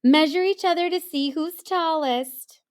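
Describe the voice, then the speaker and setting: Woman as a high, excitable kid-brother ape. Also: unhurried. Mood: boastful. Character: overgrown younger brother ape, eager and easily scared. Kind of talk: children's storybook read-aloud.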